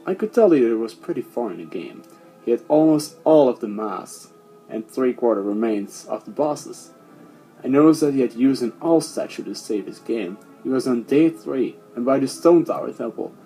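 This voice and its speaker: Male storyteller for first-person horror narration, mellow and dark tone, faint music bed. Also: mid-range voice, poor audio.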